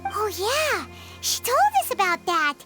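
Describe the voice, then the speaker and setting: A tiny, excitable fairy sidekick from a cartoonish game. The voice is extremely high and childlike, bouncing up and down as it chatters, with loud music behind. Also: female voice, measured pace, heavily acted. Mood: curious, chatty. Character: tiny, perky, childlike female fairy companion. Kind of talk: cartoonish video game voice acting.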